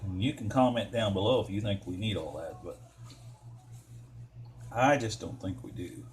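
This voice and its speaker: A man's voice: lowish voice, normal speed. Folksy American man, noisy recording. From United States. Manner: folksy, easygoing, rambling with playful made-up words. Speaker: DIY car repair vlogger. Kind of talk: casual hands-on how-to narration.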